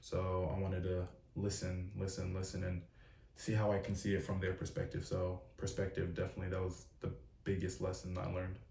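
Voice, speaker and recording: A twenty-year-old man interviewing his friends, speaking in a measured, careful way. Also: low voice, monotone, vocal fry, warm tone. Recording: roomy, distant mic.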